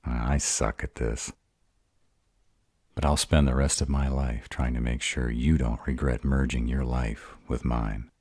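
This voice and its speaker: A man voicing a bashful, awkward male were-dragon in love, halting and earnest, in a romantic roleplay audio. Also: very deep voice, fairly even intonation, darkish tone, no room sound, clean studio sound. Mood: nervous, earnest.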